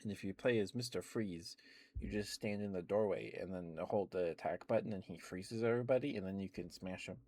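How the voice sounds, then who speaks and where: Low-voiced young man rambling softly. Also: fairly quick. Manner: rambling, meandering, with many soft fillers and quiet laughs. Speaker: ASMR streamer. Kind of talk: soft rambling ASMR chat.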